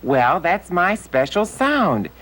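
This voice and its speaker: Children's TV puppet: childlike male, eager, highly animated pitch, emphatic teacherly repetition. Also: takes it slowly, exaggerated voice. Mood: earnest, insistent. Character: eager childlike male puppet letter with big funny feet. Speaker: puppet character on educational show. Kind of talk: children's educational puppet show dialogue.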